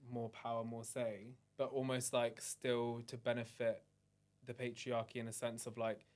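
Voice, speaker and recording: A man's voice: medium pitch, monotone, moderate pace, a little mellow. A man hosting a casual podcast chat, asking curious questions and laughing easily.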